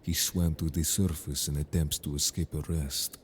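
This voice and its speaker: A man voicing a stern, protective merfolk guard in an audio drama, speaking in a measured, formal, serious way. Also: very deep voice, fairly even intonation, slight background noise. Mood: matter-of-fact, formal. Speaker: voice actor in an audio roleplay. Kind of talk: scripted audio drama roleplay.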